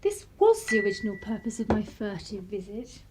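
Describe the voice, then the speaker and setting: A woman's voice: medium pitch, sing-song, a little fast, background noise, natural voice. A young woman with an upper-class English accent playing a spirited, sardonic 1930s vicar's daughter in a radio play, with arch, clipped period phrasing. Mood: breezy, teasing. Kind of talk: period radio play acting, narration and dialogue.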